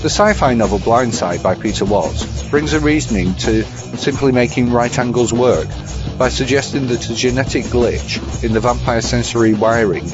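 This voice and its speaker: Clear background music plays under a slightly dull recording of a man. His voice is mid to low, delivering dry, bookish scripted commentary as a podcast presenter. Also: normal speed. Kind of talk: scripted film and book commentary.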